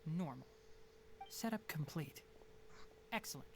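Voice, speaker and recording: An actor playing a calm, clinical young researcher and healer in a video game, speaking in a measured, matter-of-fact way. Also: male voice, a little high, gravelly, a little hiss or hum. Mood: analytical. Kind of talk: video game character dialogue.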